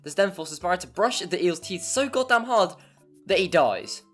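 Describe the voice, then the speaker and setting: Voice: mid-range voice, lively intonation, moderate pace. A narrator reading a scripted video game review in a wry, mildly sweary, hyperbolic way. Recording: music playing behind. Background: background music.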